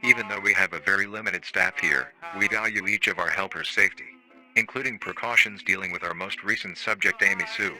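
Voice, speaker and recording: A man playing a formal, matter-of-fact research-facility narrator on an analog horror staff training tape, calmly chilling and euphemistic. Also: deep voice, a little fast, faint music behind, poor audio. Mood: politely menacing.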